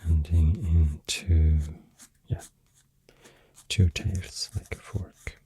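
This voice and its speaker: A woman with a Swedish accent doing soft-spoken ASMR rambling, breathy and whispery, hesitant and unhurried, close to the microphone. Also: very deep voice, fairly even intonation, slight background noise. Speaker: ASMR artist. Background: soft pencil sounds.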